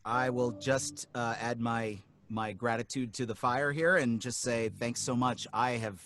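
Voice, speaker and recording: A man's voice: mid-range voice, steady tone, a little slow, a bit bright. A man who is a voice actor, chatting casually on a live stream and praising his castmates. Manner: enthusiastic, effusive with praise. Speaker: voice actor guest. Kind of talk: casual chat.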